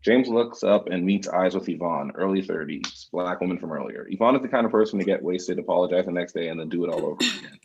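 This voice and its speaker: Deep, gravelly man reading stage directions. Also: fast talker, few pauses, muffled recording, a little hiss or hum. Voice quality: gravelly, husky. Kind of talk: scripted narration.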